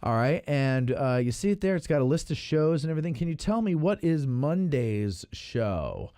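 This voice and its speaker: A man's voice: a little high, sing-song, moderate pace, dark tone, clean tone, no room sound, studio quality. An American man hosting a casual chat show, informal and rambling as he thinks aloud. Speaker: podcast host.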